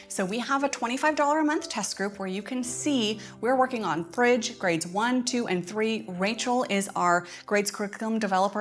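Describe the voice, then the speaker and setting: A woman hosting a casual live-stream update for members, talking in a chatty, informal way. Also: mid-range voice. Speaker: host, homeschool curriculum creator.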